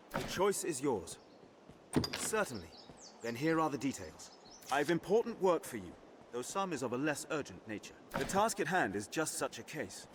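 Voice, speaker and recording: An actor playing a courteous, dutiful captain of a hunting order in a fantasy game, speaking formally in courtly, archaic phrases. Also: male voice, medium pitch, background noise, lightly acted. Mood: businesslike. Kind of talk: scripted fantasy game dialogue.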